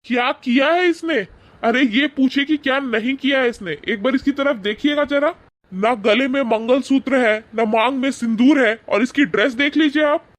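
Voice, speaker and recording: A man acts out a young husband in a cartoon moral story, with a very high-pitched voice. He is angry and rude, scolding his wife and in-laws in an indignant, accusing way. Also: a bit bright, dry recording. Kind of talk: animated moral-story dialogue.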